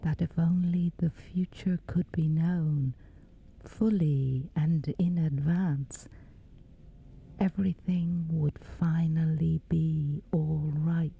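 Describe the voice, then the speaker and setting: Very slow, whispery low-voiced woman. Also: steady tone, narrow, tinny audio. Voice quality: whispery, breathy. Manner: slow, hushed, pausing between short phrases. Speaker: sleep meditation guide. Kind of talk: guided sleep meditation, soft and breathy. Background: background music.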